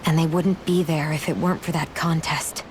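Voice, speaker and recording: A woman's voice: low-pitched, steady tone, moderate pace, noisy background, close to an everyday voice. An actress playing a reserved, pensive young woman in a fantasy video game, speaking reflectively. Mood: pensive, guarded. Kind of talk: video game cutscene dubbing.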